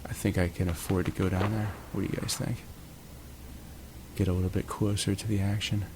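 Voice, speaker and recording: A man's voice: sits low, flat delivery, brisk, noisy background. A man hosting his own channel, casually narrating a match from home in a laid-back, jokey, chatty way. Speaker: gaming channel host. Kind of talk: relaxed gameplay commentary.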